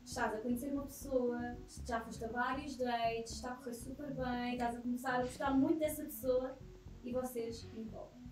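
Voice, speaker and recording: A woman in her twenties with a European Portuguese accent, asking interview questions on camera in a calm, measured way. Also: fairly high pitch, roomy sound, soft background music, poor audio. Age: 20s. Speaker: interviewer in a street-style video.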